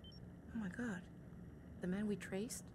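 Casting: actress as wary female hacker in game drama, medium pitch, direct and questioning, noticeable noise behind. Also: steady tone, slow pace, lightly acted. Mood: uneasy. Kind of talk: cinematic video game acting. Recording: clearly noisy background.

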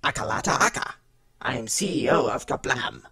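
A man's voice: mid-range voice, animated, moderate pace, bright, forceful tone, slightly rough. A man voicing a proud, grumpy alien company boss in a fan audio drama, with blunt, clipped phrasing.

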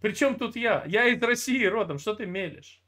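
Older man, high pitched voice, lecturing and scolding, video call over earphone mic. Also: animated, moderate pace, sharp tone. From Russia. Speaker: video chat channel host. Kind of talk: heated video chat argument. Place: indoor room, video call. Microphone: earphones with cable mic.

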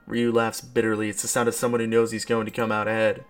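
A man's voice: lowish voice, monotone, fast talker. Young man reading aloud over game music. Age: young adult. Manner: exclamatory, slangy asides. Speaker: let's play streamer. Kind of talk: reading a visual novel aloud with casual reactions. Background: background game music.

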